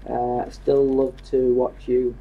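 A man's voice: mid-range voice, monotone, takes it slowly. A British man with an offhand, teasing manner, casually reading out viewer comments as co-host of a live stream. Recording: dull lo-fi sound.

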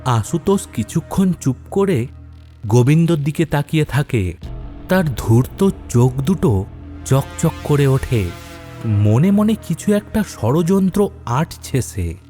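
Male narrator, dramatic scripted horror storytelling, unhurried, dark warm tone, background music. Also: a little high, big pitch swings.

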